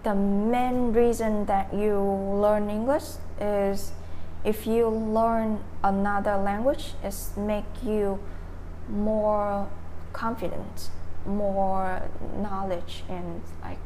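A young woman with a Vietnamese accent talks through her answers at an unhurried, deliberate pace. She hunts for words, repeats herself and fills gaps with "um" and "like". A faint hum of room ambience sits behind her mid-pitched voice. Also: little pitch movement, dark tone, low-quality recording. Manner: hesitant, searching for words, many fillers and restarts. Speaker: interviewee, English learner. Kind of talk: casual interview answers.

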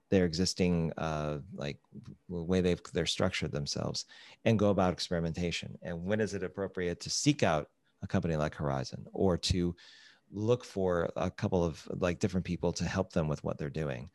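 Very deep-voiced middle-aged man, measured. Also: darkish tone, no echo. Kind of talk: casual interview chat.

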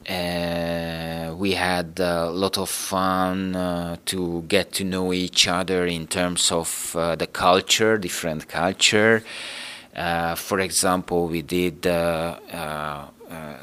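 Deep guy, slow and halting, bright clear voice, lots of uh's. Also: little pitch movement. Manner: hesitant, many fillers and restarts. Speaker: interview guest, team manager. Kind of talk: casual interview talk.

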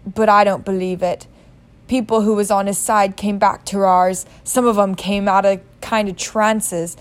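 A woman voicing a huge, warm-hearted bearded male giant with rough, rural speech. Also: medium pitch, lightly acted.

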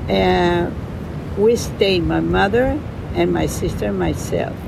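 Slow gravelly older woman, Colombian Spanish accent. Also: fairly low pitch, noisy background. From Bolivia, raised in Colombia. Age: 70s. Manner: halting, gentle, searching for words. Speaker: yoga teacher, interview guest. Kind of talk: storytelling interview.

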